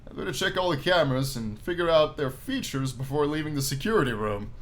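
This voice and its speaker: A man giving expressive let's play commentary, reading visual novel text aloud and adding his own drawn-out, enthusiastic asides. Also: on the high side. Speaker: gaming YouTuber. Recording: noticeable background noise.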